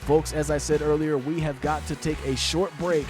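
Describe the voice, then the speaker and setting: A man's voice: fairly high pitch, flat delivery, moderate pace, music in the background. A man doing dramatic, emphatic play-by-play commentary on a wrestling show. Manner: dramatic, emphatic announcer delivery. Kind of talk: live sports commentary.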